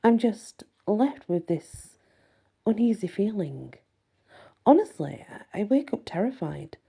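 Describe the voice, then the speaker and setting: A woman's voice: medium pitch, very animated, a little slow, vocal fry, mellow, slightly rough. A woman reading a first-person fantasy story aloud as ASMR-style narration. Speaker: story reader.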